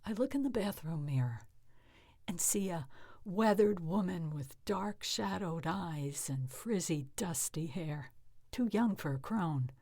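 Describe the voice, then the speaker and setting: A woman reading a short story in the first person as a weathered, frightened, bitter psychiatric patient in custody, with emotive delivery that turns hushed. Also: lowish voice, animated, unhurried, no room sound, studio quality. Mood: dulled, weary. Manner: emotive, hushed at fearful moments.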